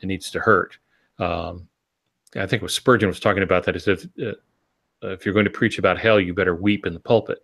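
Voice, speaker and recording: An older man with a fairly deep voice talks on a podcast. He is reflective and earnest, building his points through rhetorical questions and deliberate stresses. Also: fairly even intonation, vocal fry, a bit bright. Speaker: podcast co-host and novelist. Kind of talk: reflective conversational talk.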